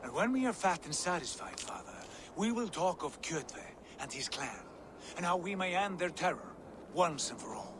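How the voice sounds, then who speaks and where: Hearty Viking lord, high male voice, declamatory, proud, video game drama, noise behind. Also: sing-song, normal speed. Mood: vengeful, resolute. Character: proud, hearty Viking prince returned from raiding. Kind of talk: cinematic video game acting.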